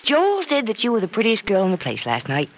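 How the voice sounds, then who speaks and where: An actress playing a gentle, composed, wistful heroine in an old-time radio drama, speaking in a soft, measured, affectionate way. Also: female voice, mid-range voice, wildly swooping pitch. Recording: noisy old radio recording.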